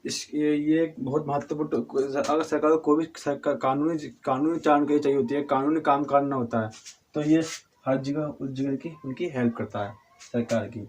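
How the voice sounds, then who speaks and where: Hoarse man teaching a civics lesson. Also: fairly high pitch, fairly even intonation, darkish tone, slight background noise. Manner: halting, with frequent restarts and throat clearing; addresses viewers as friends, asks and answers own questions. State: hoarse with a cold.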